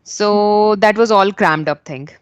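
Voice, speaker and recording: A woman's voice: medium pitch, slow pace, clear, resonant tone, no room sound. An Indian woman hosting a casual English practice conversation, earnest and encouraging, speaking English as a second language. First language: not English. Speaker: host.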